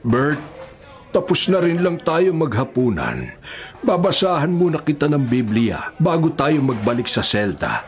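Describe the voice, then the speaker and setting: An actor playing a devout, patient elderly prisoner in a radio drama, with a Filipino accent and an earnest, preachy, measured way of talking. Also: male voice, fairly high pitch, big pitch swings. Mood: solemn. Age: older. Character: kindly, patient, devout elderly male prisoner giving counsel.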